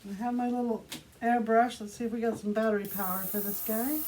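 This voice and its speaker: A woman's voice: on the high side, fairly even intonation, a little mellow. A woman hosting an art tutorial, chatting casually and narrating each step as she works. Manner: unhurried step-by-step narration of own actions. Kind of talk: casual craft demonstration, talking while working. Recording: clearly audible background noise.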